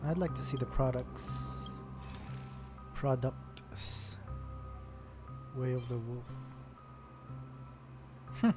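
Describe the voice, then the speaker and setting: Male streamer, unhurried mid-pitched musing over game menus, music bed clearly audible. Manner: reads game text aloud, musing to himself. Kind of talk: casual game commentary.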